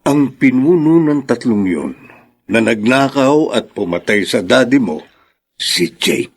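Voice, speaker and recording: A grave, reassuring attorney. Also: male voice, mid-range voice, animated, a little slow, slightly rough. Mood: grave revelation. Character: steady, reassuring male attorney seeking justice. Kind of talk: Filipino radio drama acting.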